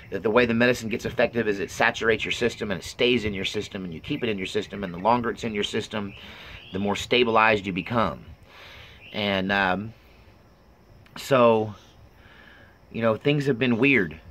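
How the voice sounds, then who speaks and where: A middle-aged man giving an unscripted personal update in a halting, earnest, confiding way. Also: on the low side, sharp tone, slight background noise. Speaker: vlogger.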